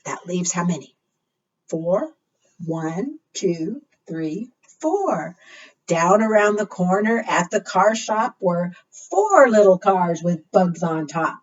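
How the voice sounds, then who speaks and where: A woman leading a preschool storytime, reading rhymes aloud and putting questions to small children in a sing-song way. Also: medium pitch. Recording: muffled, distant microphone.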